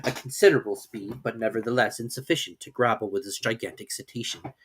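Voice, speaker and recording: A man's voice: medium pitch, fairly even intonation, fast, fairly bright tone, slight background noise. A man giving a theatrical, formal dramatic reading of an adventure novel as its narrator. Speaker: narrator, reader.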